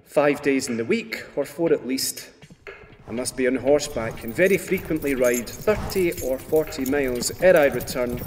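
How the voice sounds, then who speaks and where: A man's voice: mid-range voice, normal speed, faint music behind, close to an everyday voice. A man playing a hopeful eighteenth-century poet, reading his letters aloud in an earnest, literary way for a radio drama. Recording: long hall reverb, faint noise.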